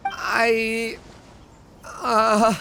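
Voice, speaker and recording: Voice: medium pitch, monotone, extremely slow, vocal fry, pushed and bright, a little hiss or hum, full character voice. An actor voicing a nervous, deferential shopkeeper in a video game, hesitant and apologetic. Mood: flustered. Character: nervous, deferential shopkeeper selling an unusual drink. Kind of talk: video game story voice acting.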